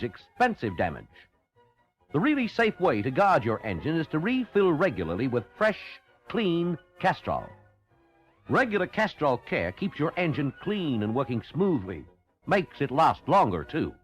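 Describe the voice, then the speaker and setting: A man reads an old radio commercial. His fairly high voice moves very expressively up and down. The read is scripted and persuasive, with faint music. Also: wildly swooping pitch, normal speed. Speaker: commercial announcer. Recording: vintage radio advertisement.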